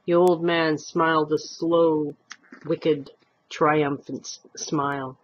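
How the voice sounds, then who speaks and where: A woman narrates a short story at an unhurried pace. Her voice is husky and moves expressively up and down. The recording is a little dull and lo-fi. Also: sits low, little pitch movement. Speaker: amateur story reader.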